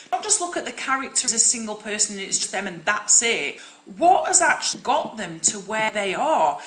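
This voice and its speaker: Acting coach, young woman, bright forceful voice, loud projecting delivery, echoey room. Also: mid-range voice. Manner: loud, projecting, direct and encouraging. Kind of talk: live-streamed teaching talk.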